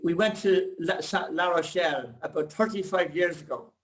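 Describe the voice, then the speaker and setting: Rambling older man, high-pitched. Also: normal speed. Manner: rambling, complimentary, reminiscing. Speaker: audience member asking a question. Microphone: video call.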